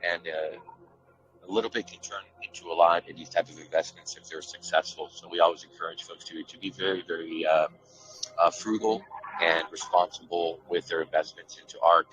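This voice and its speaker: A man's voice: low-pitched, fairly even intonation, brisk, a bit bright, dull audio, a little hiss or hum. A man from a project team speaking earnestly over a call, often repeating words as he goes.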